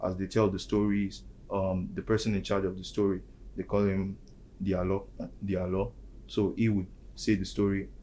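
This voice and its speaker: A man's voice: sits low, level tone, moderate pace, dark tone, a little hiss or hum. A young man, explaining things in a casual discussion and filling his talk with "like". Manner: explanatory, many fillers like "like". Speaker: student panelist.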